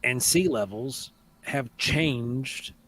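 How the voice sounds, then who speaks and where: A man's voice: medium pitch, extremely slow. A man hosting a live paranormal talk show, chatting casually and animatedly, sometimes mocking critics. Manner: animated, mocking, trails off and restarts. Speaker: podcast host. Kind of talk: casual live radio chat.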